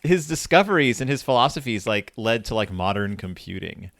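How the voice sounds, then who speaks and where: A man's voice: on the low side, sing-song, brisk, creaky, a bit bright, no echo. A man hosting a podcast, chatting casually and enthusiastically about literature, often saying "like" as filler. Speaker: podcast host.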